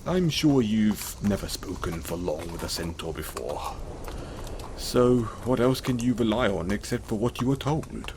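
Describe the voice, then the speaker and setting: A man playing a kind, apologetic centaur in an audio roleplay, speaking gently and hesitantly to the listener in a reassuring manner. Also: fairly low pitch, noisy background.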